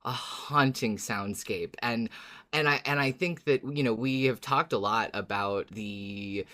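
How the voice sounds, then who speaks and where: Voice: mid-range voice, measured pace, sharp tone. A podcast co-host chatting casually in a fan recap, effusive and dramatic, sometimes feeling out a thought mid-sentence.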